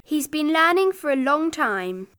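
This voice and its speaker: An earnest little girl from a children's storybook. Her voice is very high and bright, with lively swings. She speaks plainly and with a soft heart. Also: unhurried, no room sound, studio quality, full character voice.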